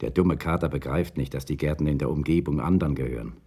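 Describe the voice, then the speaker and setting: An actor playing a weary, remorseful man confessing in a droning monotone in a German radio crime drama. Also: rumbling low, quick pace, breathy edge, full character voice. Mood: dejected, resigned. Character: weary, remorseful man confessing, defending his old tomcat.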